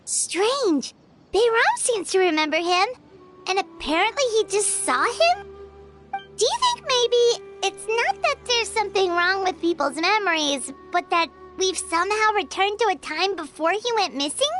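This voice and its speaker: A tiny chirpy game companion, very high and bouncy, music under it. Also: female voice, sing-song, fairly bright tone, full character voice. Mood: excited. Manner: bubbly, exclamatory, quick to jump to conclusions. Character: small floating female companion creature, chirpy and excitable. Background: game background music.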